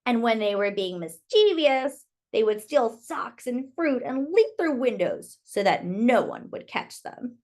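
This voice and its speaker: High, lively woman reading to children. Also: a little slow, few pauses. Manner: expressive, exclaims over the pictures, addresses young listeners. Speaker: storyteller reading a children's picture book aloud. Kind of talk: read-aloud for young children with asides.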